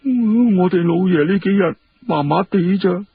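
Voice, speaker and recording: High, husky, timid servant voiced by a man. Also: unhurried, narrow, tinny audio, heavily acted. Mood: tearful, plaintive. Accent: Hong Kong Cantonese. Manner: deferential, plaintive, high-pitched, hushed. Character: timid, distressed male household servant.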